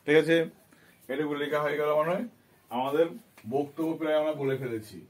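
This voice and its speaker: A man with a Bengali accent teaching in a deliberate, dictation-like style, repeating phrases and checking that students follow. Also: fairly high pitch. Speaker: English teacher. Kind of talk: dictation-style lesson, spelling out and translating phrases. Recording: distant microphone.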